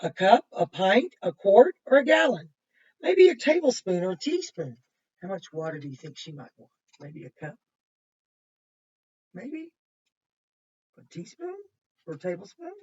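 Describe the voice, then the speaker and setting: A woman, heard through a muffled microphone some way off. She reads a picture book to young children as their teacher, in a mid-pitched voice. She breaks off to point things out, repeat them simply and ask questions. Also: big pitch swings, unhurried, pauses often, darkish tone, slightly rough, far from the mic. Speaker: kindergarten teacher. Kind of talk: reading a picture book aloud to children with explanatory asides.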